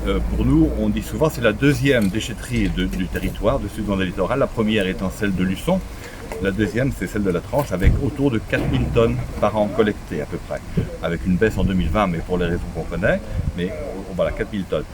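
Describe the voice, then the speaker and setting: A man's voice: fairly low pitch, fairly quick. A middle-aged man with a French accent, a local official interviewed on site, talking with many fillers and restarts. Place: outdoor recycling center. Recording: outdoor crowd noise.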